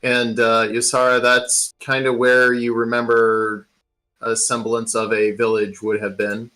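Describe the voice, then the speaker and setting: A man improvising narration as a game master, pausing as he describes the scene. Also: mid-range voice, monotone, bright, forceful tone.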